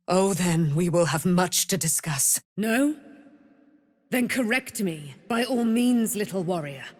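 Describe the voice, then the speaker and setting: A woman's voice: mid-range voice, no echo, lightly acted. An actress voicing a proud, righteous immortal warrior woman in a fantasy game, speaking in a grand, declamatory manner with archaic, formal diction. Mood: measured, proud, then challenging. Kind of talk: fantasy video game character acting.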